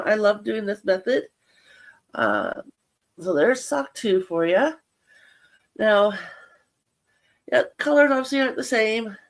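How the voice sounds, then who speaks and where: A middle-aged woman, nasal from a head cold, chatting casually and rambling a little as she shows her knitting to viewers. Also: medium pitch. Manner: chatty, rambling, self-deprecating. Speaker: yarn crafting vlogger. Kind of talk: casual show-and-tell chat.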